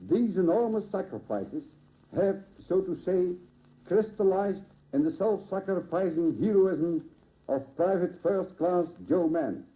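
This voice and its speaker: A man with a Dutch accent delivers a formal commemorative address. His voice sits high yet sounds very dull and soft-edged. He phrases solemnly and oratorically, heard through an old 1950s radio broadcast recording. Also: a little slow. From Netherlands. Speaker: local dignitary giving a dedication address. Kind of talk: formal ceremonial speech read from a script. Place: public ceremony at a monument dedication.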